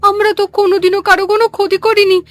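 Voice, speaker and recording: A woman voicing a timid, worried village wife in an animated melodrama, hesitant and pleading. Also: very high-pitched, fast. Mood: frightened, worried.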